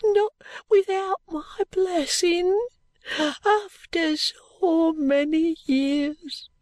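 Shrill, wailing little old lady. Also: steady tone, drawn-out words, darkish tone, no room sound, full character voice. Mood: anguished wailing. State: crying out in grief. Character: frail, devoted little old lady, distraught. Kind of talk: audiobook character acting.

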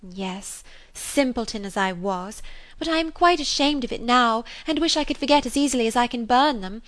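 A woman's voice: a little high, normal speed, fairly bright tone, voice pushed up, close to an everyday voice. A woman voicing an earnest, impressionable young woman in love in a storybook, with an effusive, emphatic manner. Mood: ashamed, resolute. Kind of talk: dramatised audiobook character reading.

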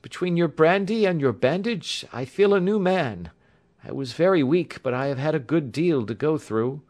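A male reader plays a young engineer from a classic story, pitched high and very animated. He is courteous but badly shaken. His breathless, excitable speech sounds strained by nerves. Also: normal speed, close to an everyday voice. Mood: relieved, earnest. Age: mid-twenties. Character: pale, agitated young male engineer, wounded, hysterical, polite.

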